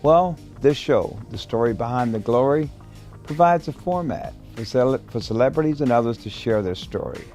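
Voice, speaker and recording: Lively-voiced male talk show host. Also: mid-range voice, normal speed, soft background music. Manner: eager, interjecting, stumbling over words. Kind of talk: interview host.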